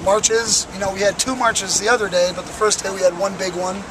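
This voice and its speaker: A young man with a raspy, worn voice, speaking earnestly and with passion as a protester in an outdoor street interview, with frequent fillers. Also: high voice, little pitch movement, fairly quick, a crowd in the background, rough recording. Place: outdoors on a city plaza by traffic.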